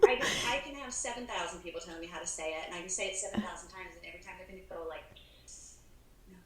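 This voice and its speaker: A woman's voice: on the low side, very fast, fairly bright tone. An American woman working as a travel advisor, chatting casually on a podcast, laughing often and restarting her sentences. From United States. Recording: echoey room with faint background noise.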